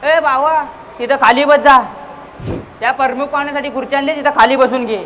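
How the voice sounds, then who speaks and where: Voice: sits high, steady tone, brisk, sharp tone. A performer playing a bossy, irritable village secretary in a comic stage play, scolding and barking repeatedly. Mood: irritated, scolding. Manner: scolding, exasperated, repetitive barking. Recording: noisy live stage recording.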